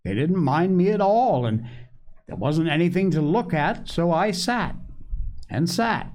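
A man plays a young boy from a children's storybook, high and very animated. He is hungry, easily indignant and pleased with himself. He rambles on eagerly, then slips into whining. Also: measured pace. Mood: whiny. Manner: boastful, whiny, rambling.

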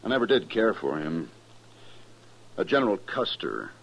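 An actor playing a dry, matter-of-fact American cavalry major in a 1950s radio western, speaking conversationally. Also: male voice, low voice, sing-song, moderate pace, vocal fry, husky, full character voice, rough recording. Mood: dry, reflective.

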